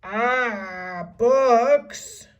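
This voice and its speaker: A woman's voice: a little high, very slow, far from the mic, highs cut off. A woman voicing a big, mean, gold-greedy pirate captain in a children's storybook, roaring and growling out orders. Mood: disgusted growl. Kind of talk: children's storybook read-aloud.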